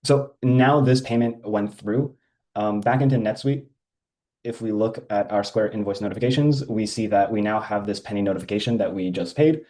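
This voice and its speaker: Guy with a deep warm voice doing a laid back software walkthrough, lots of uhs. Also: normal speed, low-quality recording. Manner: casual, many ums and uhs. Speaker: software demo presenter. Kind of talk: screen-share software walkthrough.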